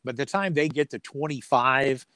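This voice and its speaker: A man's voice: medium pitch, moderate pace, bright, forceful tone, slight background noise. Folksy man chatting casually about sports. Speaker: radio sports play-by-play announcer as interview guest.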